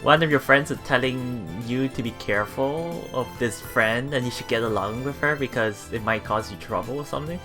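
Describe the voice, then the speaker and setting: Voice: mid-range voice, fairly quick, hardly any pauses, music in the background. A let's player reading visual novel text aloud and musing casually on it as they go. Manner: musing, often thinking aloud.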